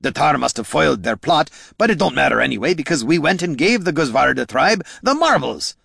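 A man voicing a gruff, blunt male warrior hero who talks rough and coarse. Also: on the high side, big pitch swings, fairly quick, fairly bright tone, dry recording, clean studio sound, voice pushed up, full character voice. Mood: angry.

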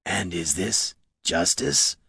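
A man voicing a grave, stern and sorrowful troll with a gravelly, husky voice. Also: low voice, slow, bright, forceful tone, no room sound, studio quality, voice pushed down, full character voice. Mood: stern, pressing.

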